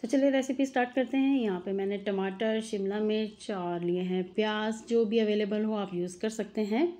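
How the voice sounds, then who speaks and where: Woman, mother, cooking channel host, chatty, homely, warm mellow voice. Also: medium pitch, steady tone, moderate pace. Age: adult mother. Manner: chatty, homely, addresses viewers directly. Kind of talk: home cooking tutorial voice-over.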